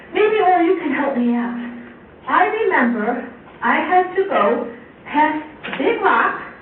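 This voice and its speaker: A woman's voice: extremely high pitch, heavily acted. A woman voicing a self-assured, chatty little storybook girl in a children's puppet show, earnestly explaining her side to the audience. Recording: muffled, echoey far-off recording, faint noise.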